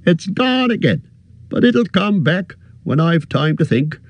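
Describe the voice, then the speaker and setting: A man reading aloud and voicing a fat, bustling, forgetful middle-aged innkeeper in a high, flustered voice. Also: big pitch swings, measured pace, slightly rough, no echo, muffled recording, heavily acted. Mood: harried. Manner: flustered, chattering, high-pitched.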